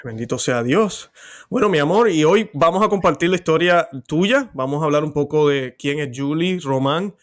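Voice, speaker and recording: A man's voice: a little high, animated, moderate pace. A man hosting a religious interview show, introducing and questioning his guest in an upbeat, affectionate way. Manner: warm, affectionate and upbeat, with occasional fillers. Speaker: host.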